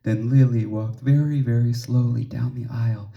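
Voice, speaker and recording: A man reading a children's story aloud expressively, with measured pauses and emphasis for drama. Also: mid-range voice, steady tone, creaky, dull audio. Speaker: storybook reader.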